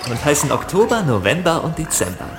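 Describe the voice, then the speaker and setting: A man plays a younger spaceman who fancies himself a charmer, in a sci-fi audio drama. His delivery is lively and swooping, full of boastful, teasing flirtation. The sound is echoey, with music behind him. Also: on the high side, fairly quick. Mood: playful. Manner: boastful, teasing, smooth-talking. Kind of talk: science-fiction audio drama.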